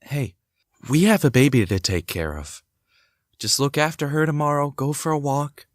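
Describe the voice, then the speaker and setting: A man playing a devoted young husband and father in an audio drama, gentle, curious and theatrical. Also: fairly high pitch, normal speed, dry recording, studio quality, lightly acted. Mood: reassuring. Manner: reassuring, theatrical, thinks aloud to himself. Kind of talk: hobby audio drama acting.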